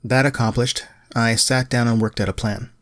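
A middle-aged man, narrating a solo podcast in a measured, lightly self-deprecating way. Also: mid-range voice, fairly even intonation, dry recording. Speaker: podcast host, writer.

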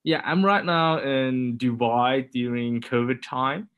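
A man's voice: mid-range voice, slow, a bit bright, clear, resonant tone. A man, a guest in a podcast interview, speaking hesitantly with many fillers. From Germany. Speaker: entrepreneur guest.

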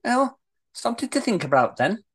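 A woman's voice: on the high side, very animated, normal speed, husky, voice pushed up. A woman playing a feisty, indignant Scottish woman in acted drama dialogue, with blunt, colloquial delivery. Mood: guarded. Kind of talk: amateur script read-through, acted dialogue.

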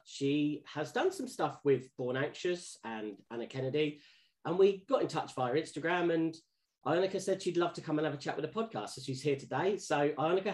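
A man's voice: a little high, a little mellow. Man hosting a podcast interview. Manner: friendly, rambling questions with restarts. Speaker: podcast host.

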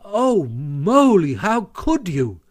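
A man's voice: a little high, lively intonation, moderate pace, darkish tone, close to an everyday voice. A man voicing a polite, anxious water rat in a storybook, speaking in a mild, pedantic way. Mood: anxious, dismayed.

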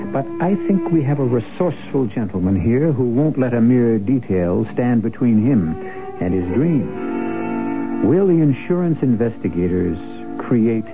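A man hosting and narrating an old-time radio drama in a measured, literary, dramatic way. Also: medium pitch. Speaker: host and narrator. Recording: muffled, band-limited old radio recording. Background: music.

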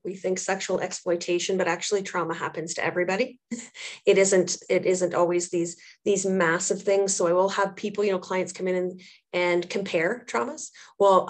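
A woman, thirties or older, answering as an interview guest and therapist, speaking earnestly and reflectively and repeating phrases for emphasis. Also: medium pitch. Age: 30s or older. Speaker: trauma therapist and social worker, interview guest.

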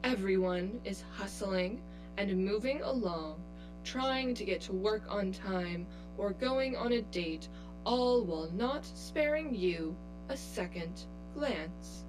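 A woman's voice: medium pitch, animated, slow pace, music in the background, low-quality recording. An actress playing a cold female inner double in an audio drama, precise, clinical and condescending. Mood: coolly menacing. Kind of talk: scripted audio drama acting.

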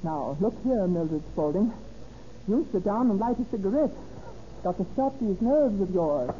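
A woman's voice: mid-range voice, lively intonation, moderate pace, mellow, lightly acted. An actress playing a cold, scheming, murderous woman in a theatrical, commanding old-time radio drama style. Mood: nervous, brooding. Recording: dull, noisy old radio recording.

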